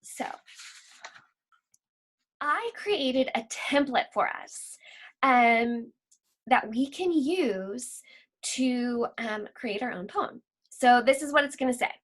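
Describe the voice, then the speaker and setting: A woman teaching a lesson for children, explaining simply and reading aloud deliberately, like a school library teacher. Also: sits high.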